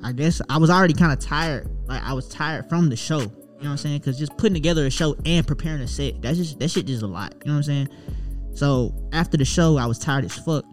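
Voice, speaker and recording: A man's voice: on the high side, fast talker, faint music behind. A young man, a rapper, chatting casually and telling a long story in a loose, profane way. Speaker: rapper guest. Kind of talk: casual chat.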